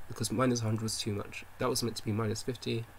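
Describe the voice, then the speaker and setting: A man's voice: fairly low pitch, flat delivery, quick pace, slight background noise. A man developing a game on stream, thinking aloud as he works, muttering to himself and constantly correcting and second-guessing himself. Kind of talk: thinking aloud while working.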